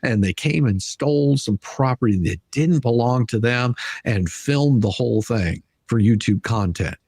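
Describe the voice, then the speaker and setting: A man hosting a live stream, giving emphatic, argumentative commentary with a husky voice and reading passages from a document aloud. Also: mid-range voice, expressive, normal speed, a little mellow, dry recording.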